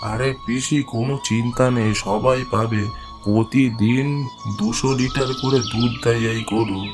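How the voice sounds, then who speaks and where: A man voicing a village husband in a cartoon, an excitable fellow who exclaims and repeats himself. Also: mid-range voice, measured pace, close to an everyday voice. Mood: cheerful, assuring. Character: anxious, pleading village milkman and husband. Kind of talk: cartoon voice acting. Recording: music clearly behind the voice.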